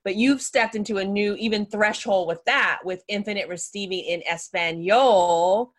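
A woman's voice: mid-range voice, moderate pace. A woman hosting a podcast interview, asking long, affirming, rambling questions. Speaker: podcast host. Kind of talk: casual interview talk.

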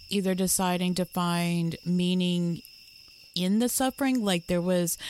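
A woman's voice: on the low side, a little mellow, a little hiss or hum. A woman co-hosting a podcast, telling her own story in an earnest, searching way, often restarting her sentences. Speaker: podcast co-host. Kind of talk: personal storytelling in conversation.

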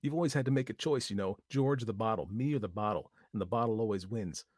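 A man's voice: fairly low pitch, fast talker, a little mellow, dry recording, clean studio sound, lightly acted. A man reading aloud voices a bossy, scornful young woman who snaps and talks vulgar when angry.

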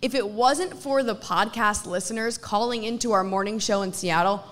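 A woman's voice: medium pitch, moderate pace. A woman co-hosting a live podcast before an audience, telling stories with animation. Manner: animated storytelling. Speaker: radio co-host.

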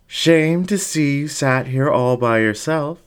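A man's voice: on the high side, clean tone, voice pushed up. A man voicing a flamboyant, vain and seductive male fox ringmaster in a cooing, theatrical way, as in an audio story. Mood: sultry, showy. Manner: cooing, drawn-out, theatrical delivery.

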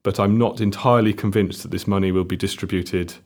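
A young man from South Wales with a South Welsh accent, explaining economics on a discussion panel in a measured way that stumbles and restarts. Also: sits low, steady tone, darkish tone. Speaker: panel guest.

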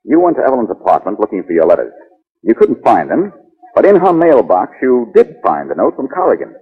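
A man's voice: mid-range voice, huge pitch swings, brisk, slightly rough. A male actor playing a cool, confident, wisecracking amateur detective with wry, needling delivery in a 1940s radio drama. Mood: pressing, assured. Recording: muffled, narrow-band 1940s radio recording.

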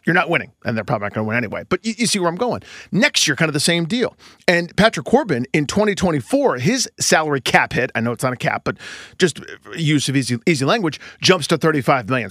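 A man's voice: fairly high pitch, fairly bright tone, no room sound, clean studio sound. A man co-hosting a sports podcast, giving emphatic unscripted analysis and repeating points for emphasis.

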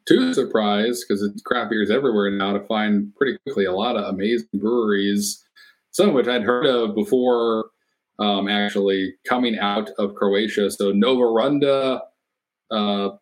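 A man's voice: on the low side, moderate pace, low-quality recording. A man co-hosting a casual beer podcast who talks enthusiastically and throws in short reactions. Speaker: podcast co-host.

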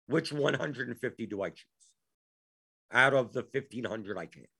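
A man's voice: medium pitch, animated, moderate pace, gravelly, pushed and bright, husky. A man hosting a live Q&A stream, his voice worn out, talking in an exasperated way and repeating himself. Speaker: show host.

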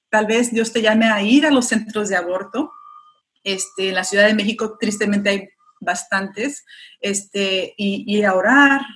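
Earnest woman speaking Spanish. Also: mid-range voice. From United States. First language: English. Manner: earnest and encouraging, urgent appeals. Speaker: pro-life activist guest. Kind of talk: interview answer, exhorting listeners.

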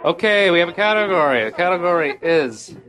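A woman's voice: fairly low pitch, over a noisy crowd. A woman co-hosting a live podcast game before an audience, upbeat and bantering. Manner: lively, upbeat, quick to banter. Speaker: podcast co-host. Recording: noisy crowded event room.

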